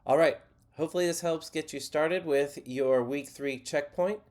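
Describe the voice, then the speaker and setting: A man teaching a college geology lesson over a screen recording. He has a stuffy nose and sounds a little nasal, and he explains things in a relaxed, plainspoken way. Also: fairly high pitch, normal speed. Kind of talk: screen-share lecture.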